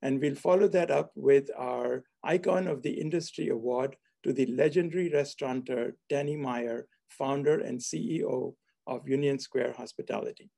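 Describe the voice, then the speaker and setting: A man's voice: medium pitch, creaky, dark tone. A middle-aged man hosting a formal online event from an office, introducing and interviewing a guest over a video call. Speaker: host, hospitality school dean. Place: book-lined office indoors. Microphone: video call, seated at computer.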